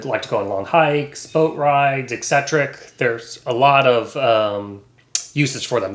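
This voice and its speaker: A man giving a casual, unscripted product review, explaining features in a hesitant, halting way. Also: mid-range voice, a bit bright. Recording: echoey, roomy sound.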